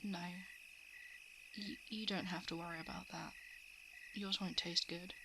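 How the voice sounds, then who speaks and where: A woman's voice: lowish voice, steady tone, measured pace. A woman playing a guarded, coolly predatory shapeshifting monster who eats hearts, in an ASMR roleplay monologue. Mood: dismissive, wistful. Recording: clear background noise of night insects, frogs. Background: crickets, frogs and night insect ambience.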